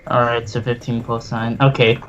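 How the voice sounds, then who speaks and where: A man's voice: mid-range voice, flat delivery, moderate pace. Casual man game master, dull lo-fi sound. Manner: casual, profane, hesitant narrating. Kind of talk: casual tabletop game narration.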